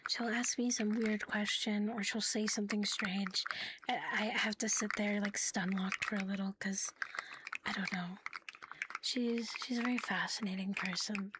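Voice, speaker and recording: A woman hosting a live ASMR stream, chatting casually and playfully in a soft, breathy voice that often slips into a whisper. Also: medium pitch, fairly even intonation, normal speed, very noisy. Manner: playful, giggly, teasing. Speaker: ASMR livestream host.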